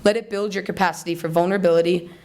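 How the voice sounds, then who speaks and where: A woman's voice: fairly low pitch, steady tone, a little mellow. Young American woman giving an earnest speech. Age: young adult. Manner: earnest, deliberate rhetorical delivery. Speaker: student speech contest finalist. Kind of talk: rehearsed persuasive public speech. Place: college hall, before a live audience.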